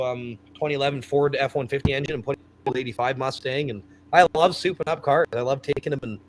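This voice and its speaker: A man in his thirties, chatting casually and enthusiastically about building fast cars. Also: mid-range voice, slight background noise, poor audio. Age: 30s. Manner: rambling, enthusiastic car talk. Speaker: guest. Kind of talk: casual chat.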